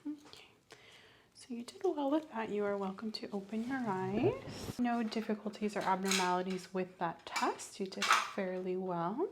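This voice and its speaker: A soft-spoken woman playing a medical examiner in an ASMR roleplay, gently giving instructions and encouragement. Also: medium pitch, normal speed, background noise.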